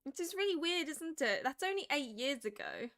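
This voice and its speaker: A woman in her late twenties chatting casually on a stream, animated and laughing often. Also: high-pitched, normal speed. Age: 20s. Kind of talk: casual chat.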